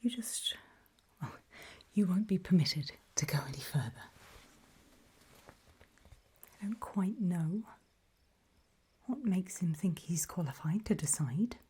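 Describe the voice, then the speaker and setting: A woman voicing a calm, ominous gatekeeper in a hushed, breathy ASMR roleplay, speaking mostly in deliberate whispers with pauses. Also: fairly low pitch, exaggerated voice. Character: calm, ominous female gatekeeper briefing travelers. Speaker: ASMR performer.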